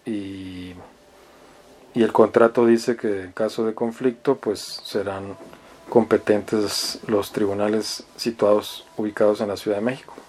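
A man's voice: on the low side, little pitch movement, unhurried, a little mellow, a little hiss or hum. A man from northern Mexico with a Mexican accent, lecturing as a law professor in a didactic, hesitant way, often seeking agreement with small questioning tags. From Mexicali, Baja California, Mexico. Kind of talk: explanatory law lecture, reading articles aloud and commenting.

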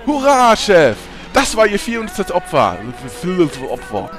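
Man playing an excitable, very animated character. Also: high voice, takes it slowly, music in the background, full character voice. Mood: gleeful. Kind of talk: parody audio drama acting.

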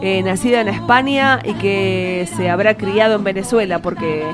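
A woman's voice: mid-range voice, moderate pace. Effusive Argentine woman hosting radio, music behind. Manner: effusive, affectionate. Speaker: radio show host. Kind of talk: casual, chatty live radio interview. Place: radio studio.

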